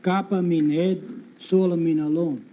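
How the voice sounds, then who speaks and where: Old italian guy w/ thick accent, keeps insisting, talks high, on stage mic in a tv studio. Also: steady tone, normal speed. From Italy. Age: older. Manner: broken English, emphatic and insistent. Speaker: game show contestant. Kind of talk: unscripted chat. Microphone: stand mic on stage. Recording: dull 1950s TV sound with hiss.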